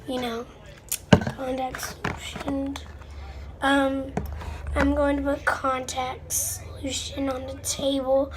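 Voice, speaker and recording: A young girl with a stuffed-up nose, chatting casually and a bit apologetically to viewers as she crafts at home, rambling as she goes. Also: sits high. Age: child. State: stuffed-up and under the weather. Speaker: slime video maker. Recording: noisy amateur recording with clicks.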